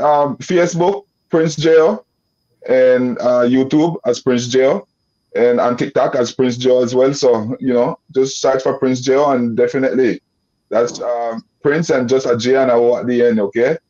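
Young guy, nicaraguan creole accent, mellow dark voice, rambly with lots of "you know", muffled video call audio. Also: mid-range voice, fairly even intonation, a little slow. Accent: Nicaraguan Creole English. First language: Creole English. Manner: loose rambling with many restarts and "you know" fillers. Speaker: guest. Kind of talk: casual video-call interview. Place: indoor room.

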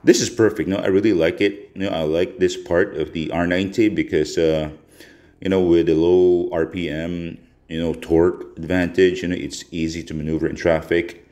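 A man's voice: sits low, steady tone, moderate pace, a little mellow. A man from the Philippines giving a casual, conversational voice-over review as a motorcycle vlogger. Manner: conversational, informal.

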